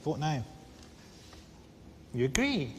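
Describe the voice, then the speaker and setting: A Scottish man hosting a comedy panel show, delivering dry, deadpan jokes in a TV studio. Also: on the high side, takes it slowly, heavy background noise. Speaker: host. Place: TV studio with audience. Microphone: lapel mic.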